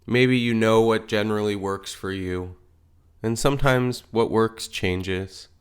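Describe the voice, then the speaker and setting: Smooth, low-voiced man guiding meditation. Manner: gentle unhurried phrasing with pauses. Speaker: meditation teacher. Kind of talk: guided meditation instruction.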